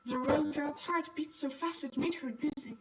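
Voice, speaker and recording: A woman's voice: sits high, moderate pace. A woman streaming a game, reading a visual novel aloud with casual asides. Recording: distant, slightly dull microphone.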